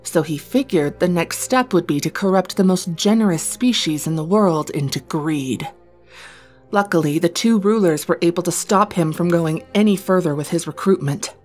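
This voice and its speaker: Woman, slightly low voice, scripted story narration, background music. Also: normal speed, a little mellow.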